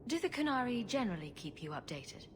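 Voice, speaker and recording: An actress playing a confident, sarcastic adventurer heroine in a fantasy video game, dry and joking. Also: female voice, a little high, sing-song, quick pace, a little hiss or hum. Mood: matter-of-fact. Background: music.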